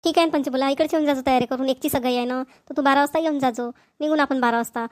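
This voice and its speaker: A very high-voiced cartoon village woman played by an actress chatters quickly in a Vidarbha Marathi accent. She sounds homely, practical and matter-of-fact. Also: fairly even intonation, natural voice. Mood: chatty, practical. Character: chatty, practical village women neighbours planning an engagement. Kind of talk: amateur cartoon dialogue.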